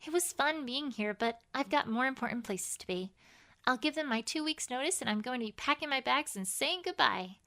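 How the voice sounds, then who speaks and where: A woman's voice: high-pitched, lively intonation, a little fast, sharp tone, full character voice. A woman voicing an earnest, bookish young female pony scholar in an emphatic, conversational letter reading for an audio drama. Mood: relieved, determined.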